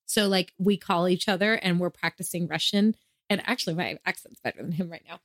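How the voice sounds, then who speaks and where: Woman, podcast co-host, somewhat low voice, relaxed and agreeable, dry close sound. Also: brisk, dry recording. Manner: easygoing, affirming, laughs often. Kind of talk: casual chat.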